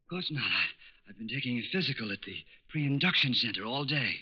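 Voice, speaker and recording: An actor playing a shaken, hurting driver who speaks haltingly and repeats himself, in 1940s radio style. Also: male voice, medium pitch, very animated, highs cut off, lightly acted. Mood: weary, defensive. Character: shaken, pained driver with injured eyes. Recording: noisy band-limited 1940s radio recording.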